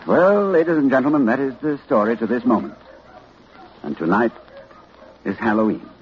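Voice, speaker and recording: A man acting in a 1940s radio drama as a grave, anxious learned doctor, urgent and dramatic, with halting delivery. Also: medium pitch, expressive, close to an everyday voice. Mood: solemn, dread. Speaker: narrator and lead actor. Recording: muffled, noisy old radio recording.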